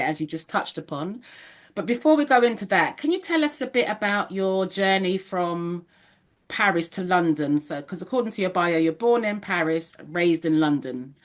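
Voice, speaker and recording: A woman in her fifties hosting an interview podcast, speaking in a reflective, affirming way. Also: on the low side. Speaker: podcast host. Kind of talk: casual interview chat.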